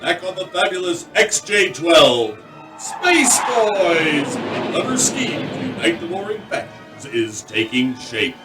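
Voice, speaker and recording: An actor playing a grandiose announcer, narrating an old-time radio adventure serial in a melodramatic, drawn-out manner. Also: male voice, high-pitched, big pitch swings, heavily acted. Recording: echoey distant voice under loud music.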